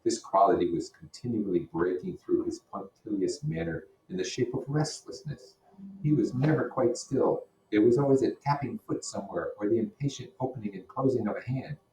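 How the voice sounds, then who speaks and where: Low-voiced man teaching in an echoey room. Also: expressive, moderate pace, dark tone. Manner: explanatory, puts rhetorical questions to listeners. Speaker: teacher reading a novel aloud. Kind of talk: read-aloud with explanatory comments. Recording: roomy echo, distant microphone.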